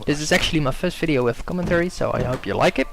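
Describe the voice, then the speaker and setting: A man's voice: fairly high pitch, racing, gravelly. A young Dutch-native man giving hesitant gameplay commentary, full of ums and self-corrections.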